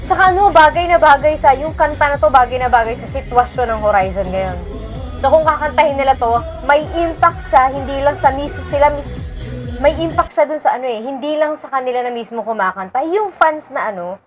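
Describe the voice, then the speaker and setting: A woman's voice: high-pitched, fairly quick. A Filipino woman chatting casually as a fan commentator, talking emphatically and repeating her phrases. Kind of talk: casual reaction chat. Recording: music playing behind the voice.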